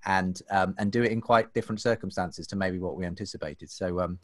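A man's voice: sits low, fairly quick, dry recording, studio quality. A man in his thirties hosting a podcast from home, chatty and full of fillers and restarts. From England. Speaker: host. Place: home room. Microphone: desk microphone, webcam.

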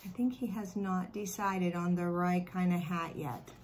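Kindergarten teacher, American woman in middle age, simple expressive explaining, home recording with slight background noise. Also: on the low side, unhurried. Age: middle-aged. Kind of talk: read-aloud and chat to young children. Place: living room at home.

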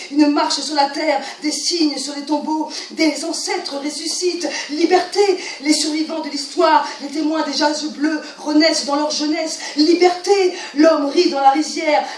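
A woman with a high voice declaims lyric poetry, solemn and incantatory. She is some way from the microphone in a reverberant room. Her delivery is emphatic and theatrical, as in a staged recitation. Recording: roomy, distant mic, faint noise.

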